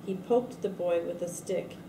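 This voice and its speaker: A woman's voice: lowish voice, measured pace. A woman on a school staff reading a storybook aloud to children, with expression on the quoted lines. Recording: echoey room with faint noise.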